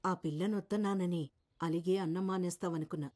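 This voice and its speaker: An actress playing a bossy yet doting middle-aged village matriarch in a film. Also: female voice, lowish voice, fairly even intonation, brisk, darkish tone, natural voice. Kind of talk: naturalistic film acting. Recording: music bed under film dialogue.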